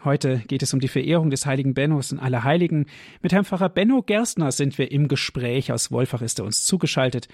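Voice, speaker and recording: A male radio host with a gravelly, husky voice and a mellow, dark tone. He speaks formally and spells out phone numbers slowly in a dry, close studio sound. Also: mid-range voice, no echo. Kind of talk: radio call-in moderation.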